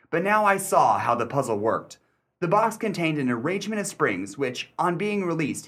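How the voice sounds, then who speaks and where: Male actor, sly gentleman narrator, radio play, dry wit, deliberate emphasis, highly animated delivery. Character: shrewd, sly, sardonic gentleman narrator of an Edwardian mystery. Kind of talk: theatrical first-person mystery radio narration.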